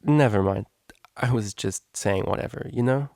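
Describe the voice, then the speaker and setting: A man voicing a brash, excitable young unicorn mare. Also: lowish voice, normal speed, creaky, dry recording, clean studio sound. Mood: flustered. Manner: slangy, exclamatory, teasing. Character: brash young female unicorn DJ, playful, easily flustered.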